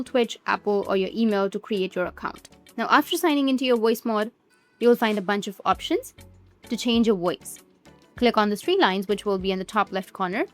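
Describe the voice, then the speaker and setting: A woman giving step-by-step instructional narration for a software tutorial, with repetitive phrasing. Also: medium pitch. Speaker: tutorial presenter.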